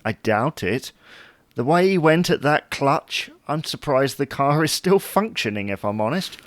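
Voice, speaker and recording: A man's voice: mid-range voice, expressive, unhurried, no echo, clean studio sound, natural voice. A man playing a chatty, fussy, wryly puzzled husband in an audio sitcom. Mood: reminiscing. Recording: noticeable background noise.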